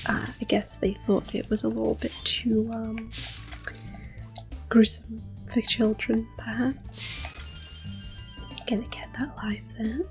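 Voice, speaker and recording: A woman giving gentle, mostly whispered ASMR commentary as she hosts a video game playthrough. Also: on the high side, little pitch movement, slow, gravelly, long pauses, poor audio. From Europe. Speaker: host of a gameplay series. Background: quiet game music and controller clicks.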